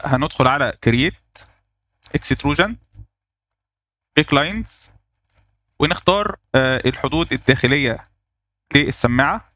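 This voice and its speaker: A man with an Egyptian Arabic accent walking through a software tutorial step by step, pausing on fillers as he works. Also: a little high, no echo. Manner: explains step by step, thinking aloud with fillers. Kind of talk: screen-recorded software tutorial.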